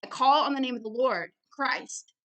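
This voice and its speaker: Emphatic, bright-voiced woman preaching. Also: high-pitched, moderate pace. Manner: emphatic, blunt, repeats phrases for stress. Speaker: ministry founder and host reading and commenting on a book.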